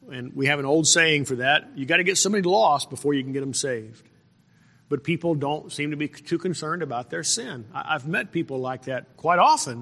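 Bright, forceful male preacher in a hall. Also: a little high. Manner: halting and repetitive, with emphatic rhetorical questions. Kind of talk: sermon, verse-by-verse Bible exposition. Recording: reverberant hall with long echo.

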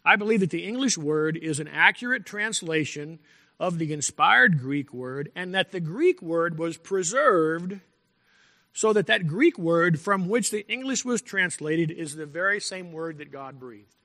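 A male pastor preaching a sermon in a deliberate, emphatic way, repeating key phrases and clearing his throat now and then. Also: fairly high pitch, expressive, sharp tone. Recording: long echo from a large hall.

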